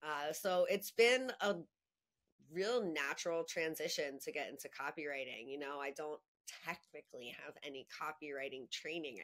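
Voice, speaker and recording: A woman in her thirties, a podcast guest chatting in a lively way with frequent laughs and filler words. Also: low-pitched, normal speed. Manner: chatty and animated, laughs easily, many "like" fillers. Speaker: guest, copywriting agency owner. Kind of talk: casual podcast conversation.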